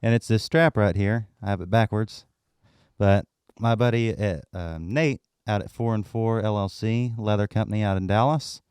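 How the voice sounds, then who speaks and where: Deep-voiced man, smooth and low. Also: normal speed, no echo, clean studio sound. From Texas. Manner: relaxed, talks gear with easygoing enthusiasm. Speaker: guest musician. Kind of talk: casual interview chat.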